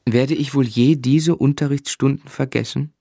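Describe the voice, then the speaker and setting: An actor playing a reflective grown man who narrates his unhappy childhood in a German radio play, measured and grave. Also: mid-range voice, fairly even intonation, gravelly, mellow, dry recording, studio quality.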